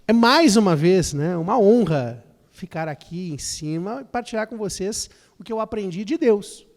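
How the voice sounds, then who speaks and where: A man's voice: high voice, expressive, normal speed, darkish tone. A man interpreting a lecture phrase by phrase, often starting with fillers and repeated words. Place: lecture hall. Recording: long hall reverb.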